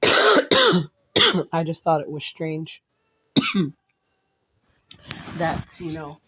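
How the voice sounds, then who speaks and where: A woman vlogging casually to her viewers, a little hoarse and raspy from a cold, rambling with restarts and blunt asides. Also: low voice, wildly swooping pitch, very slow, pushed and bright. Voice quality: slightly raspy. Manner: blunt and outspoken.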